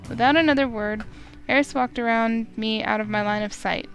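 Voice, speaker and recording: A woman reading a visual novel aloud in first person, steady and expressive, with small slips. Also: on the high side, moderate pace, soft background music. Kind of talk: first-person story narration.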